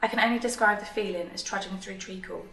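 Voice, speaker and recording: A woman's voice: mid-range voice, quick pace, vocal fry, sharp tone, soft background music. A woman in her thirties giving a composed scripted testimonial. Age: 30s. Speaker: employee sharing her story. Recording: distant roomy video recording.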